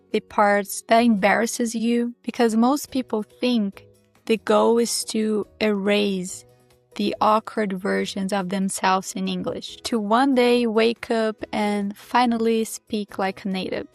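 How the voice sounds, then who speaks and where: A Brazilian woman, a native Portuguese speaker with a Brazilian accent, hosting her English-learning channel and talking in a calm, measured, candid way. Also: medium pitch, vocal fry, no echo. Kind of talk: reflective teaching monologue to camera. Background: soft background music.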